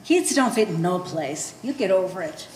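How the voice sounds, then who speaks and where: Woman acting a blunt old immigrant mother, animated. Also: fairly low pitch, moderate pace, lightly acted. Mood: wry reminiscing. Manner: broken English with dropped articles. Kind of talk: live one-act stage acting. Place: art gallery stage reading. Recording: roomy echoing hall, faint noise.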